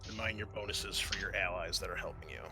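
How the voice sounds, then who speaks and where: A man working as game master of a tabletop roleplaying game, narrating in a conversational, halting way. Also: deep voice, fairly even intonation, vocal fry, pushed and bright, soft background music.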